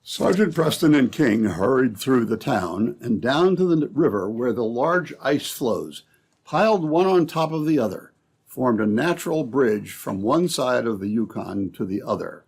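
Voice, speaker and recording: Husky male old-time radio narrator. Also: mid-range voice, lively intonation, measured pace, darkish tone.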